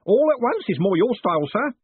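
A beaming, deferential male clerk chirps away in a raised, husky voice that swoops up and down, as a man puts him on. He sounds proud and keen to please. The recording is dry and phone-thin. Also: high voice, very animated, fairly quick, no room sound, narrow, tinny audio, voice pushed up, full character voice. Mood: eager. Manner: cheerful, beaming, calls everyone sir. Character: eager, deferential male post office clerk.

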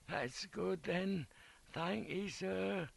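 A man voicing a weak, awed young wounded soldier, croaky and whispery, with a working-class English accent, in an audiobook. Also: high-pitched, drawn-out words, voice pushed up, full character voice.

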